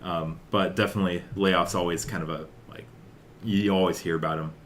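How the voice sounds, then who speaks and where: Young dude, really low voice, rambly casual podcast talk, slight noise behind him. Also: male voice, steady tone, brisk. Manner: rambling, peppered with "like" and "uh". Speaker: podcast host. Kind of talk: casual chat about video game news.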